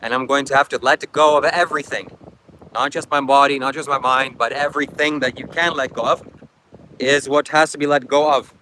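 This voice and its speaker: Bright-voiced man with Ukrainian accent. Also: mid-range voice, fairly even intonation, fairly quick, rough recording. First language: not English. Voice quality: frequent coughing, rambling and reflective. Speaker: livestream host. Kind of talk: casual livestream chat reading comments. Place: outdoors at a beach. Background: faint wind.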